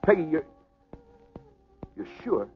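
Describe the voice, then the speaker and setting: An actor playing an earnest young male boxer who speaks plain street slang in a hushed, sincere way, in 1940s film style. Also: fairly high pitch, sing-song, slow pace. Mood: tender. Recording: dull old film soundtrack with music.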